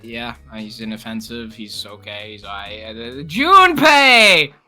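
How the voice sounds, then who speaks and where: A young man chatting casually as a co-host, excitable and slangy, swearing freely. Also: on the low side, normal speed. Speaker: co-host ranking anime characters. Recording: music playing behind the voice. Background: music.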